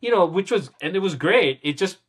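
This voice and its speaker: A man's voice: sits high, expressive, brisk, breathy edge. A middle-aged man, a musician being interviewed, recalling his past in casual talk with many restarts and repeated words, often chuckling at himself. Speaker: guest musician.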